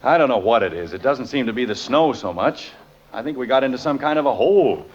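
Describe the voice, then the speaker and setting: A man's voice: medium pitch, animated, fast, muffled recording, natural voice. An actor playing a flustered, sarcastic family father in a 1950s radio sitcom, from an old broadcast. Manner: exasperated, sarcastic. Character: flustered middle-aged family father, quick to grumble.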